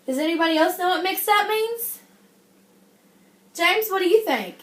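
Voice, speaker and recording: A woman's voice: very high voice, animated, moderate pace, a bit bright. A woman teacher reading a picture book to young children, encouraging them and often stopping to ask questions. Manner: encouraging, addresses the class as guys, frequent check-in questions. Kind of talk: interactive classroom read-aloud with questions and praise. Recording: echoey room.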